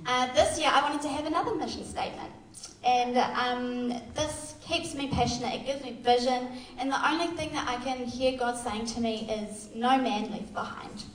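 A woman's voice: high-pitched, steady tone, normal speed, a little hiss or hum. A woman, giving a candid, self-deprecating church testimony full of fillers. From Australia. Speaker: youth worker sharing testimony. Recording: echoey hall, voice far from mic.

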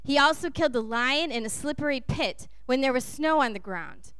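Smooth-voiced woman preacher from Texas. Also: high voice, animated, normal speed, fairly bright tone. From Texas, United States. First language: English. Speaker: guest preacher. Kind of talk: sermon delivered in short phrases for an interpreter.

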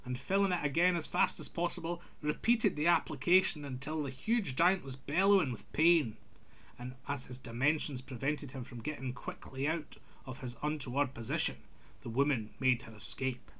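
A man's voice: on the high side, bright, forceful tone. A man reading a scripted folk tale aloud in an old-fashioned literary storytelling manner.

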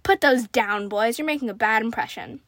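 Woman doing a slick mock-polite outlaw boss, light theatrical talk, highish, western storybook. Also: normal speed, fairly bright tone, no room sound, clean studio sound, close to an everyday voice. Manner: light, theatrical, falsely courteous. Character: smooth-talking younger male ruffian, mock-polite gang leader.

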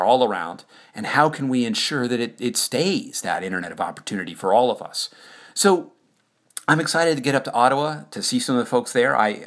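Male podcast host, fairly low pitch, informal and conversational with an enthusiastic delivery. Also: a bit bright. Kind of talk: casual informal monologue about an upcoming event.

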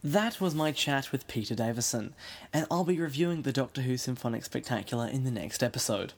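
Brisk male show host. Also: mid-range voice. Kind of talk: interview questions and scripted sign-off.

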